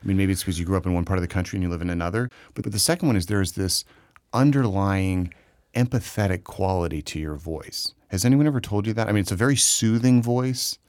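A man hosting an interview, asking questions with lively enthusiasm. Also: low-pitched, fast talker, no room sound. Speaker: interview host. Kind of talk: conversational interview.

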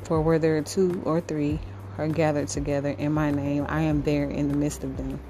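A woman podcast host reading the Bible aloud in a devotional style, halting in short phrases with occasional stumbles. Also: sits low, little pitch movement, warm tone. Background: music under the voice.

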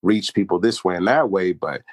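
Man, very low voice, earnest and easygoing, dry close sound. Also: normal speed, no echo. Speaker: podcast co-host. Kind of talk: casual chat.

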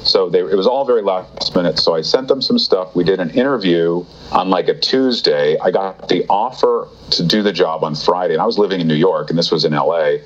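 A man's voice: fairly quick, few pauses, highs cut off. A man being interviewed as a guest, telling his career story in a casual, rambling way with many fillers and restarts. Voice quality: deep voice. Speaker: guest, TV writer.

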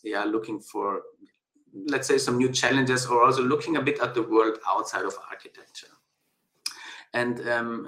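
A man's voice: on the low side, gravelly, fairly bright tone. A man from South Tyrol in northern Italy, a guest architect in a casual interview, talking in a thoughtful, hesitant way with many fillers and restarts over a remote call. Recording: echoey room.

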